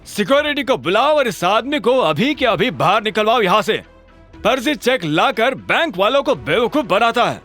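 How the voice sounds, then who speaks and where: Man playing a high, shouting, outraged hothead. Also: big pitch swings, normal speed, faint music behind. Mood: furious. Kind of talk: audio drama acting.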